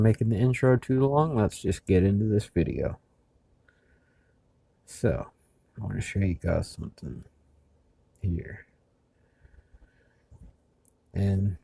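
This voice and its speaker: A man hosting a video in casual narration, speaking haltingly with labored speech after mouth surgery. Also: on the low side, dark tone, dry recording. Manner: halting, hesitant. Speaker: video host.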